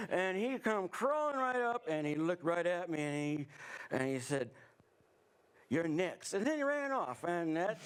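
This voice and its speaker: A man's voice: sits high, clean tone, low-quality recording. A man playing a spooked, folksy rustic Southerner with a Southern US accent in an improv comedy scene.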